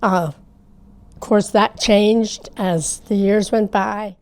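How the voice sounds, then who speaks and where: A woman in her eighties, a longtime resident, recalling the family store in an unhurried, rambling way. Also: medium pitch, steady tone, a little mellow. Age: 80s. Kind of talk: interview recollection.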